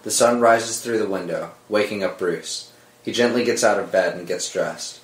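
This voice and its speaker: Low-voiced man narrating a screenplay. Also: normal speed. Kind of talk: scripted narration of screenplay directions. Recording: roomy echo under dramatic score music.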